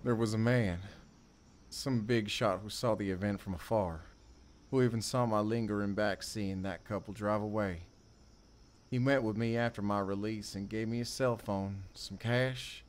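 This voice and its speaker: An actor playing a remorseful drifter confessing a violent past in audio theater, speaking haltingly and broodingly. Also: male voice, on the low side, steady tone, lightly acted. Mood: grave, hushed.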